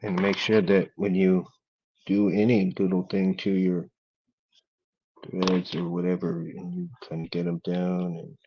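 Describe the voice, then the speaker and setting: A man talks in a low, flat monotone, murmuring along as he works on a craft project. His delivery is laid-back and unhurried. The recording is dull and lo-fi. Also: gravelly, slightly rough. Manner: laid-back, talks quietly while working. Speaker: hobby craft vlogger. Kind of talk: casual working chat while building.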